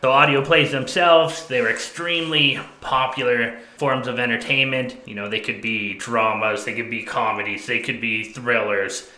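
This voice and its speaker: A man's voice: mid-range voice, fairly even intonation, moderate pace, hardly any pauses, sharp tone, roomy sound, poor audio. A man co-hosting a podcast, chatting casually and enthusiastically in slangy banter with a friend. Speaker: podcast co-host.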